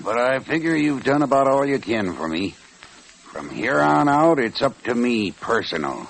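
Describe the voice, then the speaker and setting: An actor playing a smug, cold-blooded gunman henchman with a gravelly voice in an old Western radio drama. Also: medium pitch, very animated, normal speed. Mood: coldly insolent. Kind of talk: 1940s Western radio acting. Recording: noisy old radio transcription.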